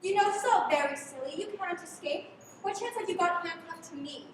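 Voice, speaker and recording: A woman's voice: very high voice, brisk. A young woman playing a stubborn, indignant captive in a live radio play, prim and protesting. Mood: defiant. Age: teens. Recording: echoey noisy hall from far off.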